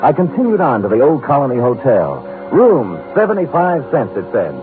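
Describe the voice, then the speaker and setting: An actor playing a wry, unflappable private detective in deadpan, sardonic old-time radio drama style. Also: male voice, medium pitch, moderate pace. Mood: musing. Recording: muffled vintage radio recording with music.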